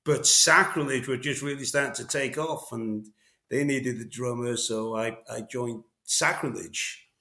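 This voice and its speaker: A man's voice: mid-range voice, unhurried, bright, forceful tone, echoey room. A middle-aged Welsh man from North Wales, a veteran drummer telling his story in a casual interview, rambling with many fillers and restarts.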